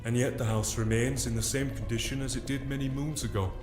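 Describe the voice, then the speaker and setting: A man's voice: medium pitch, steady tone, brisk, natural voice. A man playing a grumpy, sceptical member of a ghost-hunting TV crew in an audio drama. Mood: irritated. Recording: roomy echo, music behind.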